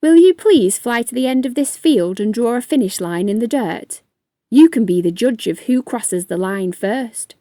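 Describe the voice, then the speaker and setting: A woman's voice: a little high, animated, moderate pace, dark tone, no echo, natural voice. Woman voicing a boastful young male ant, scornful, storybook, dry close sound. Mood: challenging. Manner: bragging, scornful.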